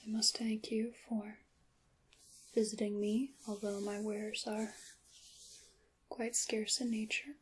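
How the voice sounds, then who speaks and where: A woman playing a courteous witch shopkeeper in an ASMR roleplay, whispering softly and breathily with formal, polite, old-fashioned wording. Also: medium pitch, flat delivery, takes it slowly, long pauses, a little hiss or hum, lightly acted. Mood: gracious, calm. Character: courteous witch shopkeeper selling handmade magical wares.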